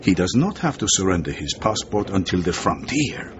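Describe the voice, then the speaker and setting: An actor playing a shrewd, genial middle-aged intelligence chief in a radio drama. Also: male voice, deep voice, sing-song, normal speed. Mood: sly, confiding. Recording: dull lo-fi recording, background noise.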